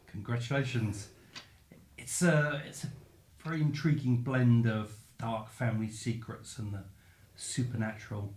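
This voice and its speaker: A man's voice: medium pitch, fairly even intonation, measured pace, darkish tone. A man conducting a literary interview, phrasing his questions in a hesitant, thoughtful way. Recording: echoey room, faint background noise.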